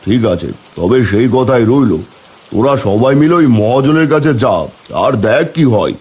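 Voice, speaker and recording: A man voicing village menfolk in a cartoon, speaking in an animated, homely way. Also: mid-range voice, normal speed, natural voice. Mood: concerned. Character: village men: concerned headman and eager helpers. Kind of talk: cartoon character dialogue.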